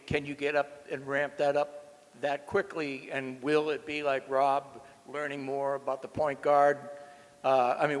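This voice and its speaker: A man questioning a coach as a reporter at a press conference. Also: mid-range voice. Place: indoor press conference room. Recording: echoey press room.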